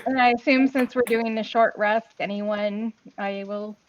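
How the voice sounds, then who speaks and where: A woman's voice: medium pitch, steady tone, unhurried, muffled recording, noisy background. A woman playing an online tabletop game, chatting casually with the group over a call. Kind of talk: casual chat.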